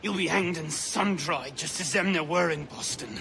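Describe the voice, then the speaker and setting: An actor playing a spiteful, defiant captive sea captain in a video game, with a gravelly voice. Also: male voice, on the high side, normal speed. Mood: spiteful defiance. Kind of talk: cinematic video game acting.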